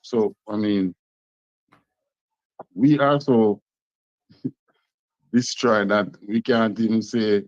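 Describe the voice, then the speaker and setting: Low-voiced Jamaican man lecturing emphatically. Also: fairly even intonation, very slow, pauses often, no room sound. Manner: emphatic, repeats words while driving points home. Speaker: teacher. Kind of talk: lecturing class talk. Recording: dull, muffled, dry sound.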